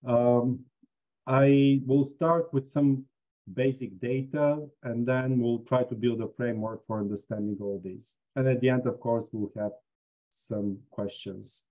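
A man's voice: medium pitch, fairly even intonation, a little slow, dark tone, poor audio. A man from Bulgaria, a business school dean, giving an online lecture with frequent fillers. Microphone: video call.

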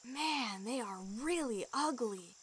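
Woman playing a high-voiced plucky young archer. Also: expressive, slow, fairly bright tone, slightly rough, exaggerated voice. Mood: curious, hushed. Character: young adventurous archer companion, cheerful and plucky. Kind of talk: fantasy audio drama acting. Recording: music behind the voice.

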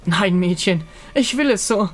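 A man's voice: sits high, animated, unhurried. Man acting a cool, scheming pirate captain woman. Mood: resolute.

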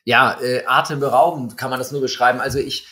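A man's voice: mid-range voice, steady tone, fairly quick, sharp tone. Hesitant German-speaking man in his fifties. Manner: hesitant, many fillers, storytelling. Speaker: watch magazine editor, guest. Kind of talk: conversational interview. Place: home room with bookshelves and string lights.

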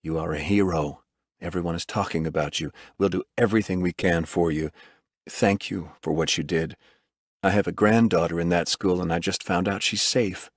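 A man voicing an older male surgeon in an audiobook, soft, earnest and reassuring, moved with gratitude. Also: deep voice, fairly even intonation, normal speed, dry recording, clean studio sound.

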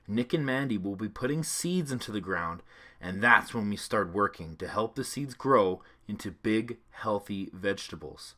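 A man narrating a children's storybook read-along with clear, expressive delivery. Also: fairly low pitch.

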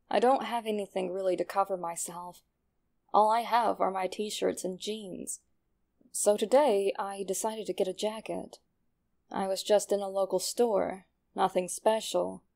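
A woman plays a cold, self-satisfied young killer in a horror audio story. The delivery is sinister and slow, with plenty of creak in the voice, as each journal entry is savored. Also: medium pitch, darkish tone. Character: smug, cold young male killer writing his journal.

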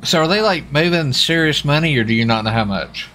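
A man's voice: on the high side, lively intonation, fairly quick, bright, forceful tone. Casual man on faintly noisy game chat. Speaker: player as law officer in roleplay. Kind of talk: casual questioning in roleplay.

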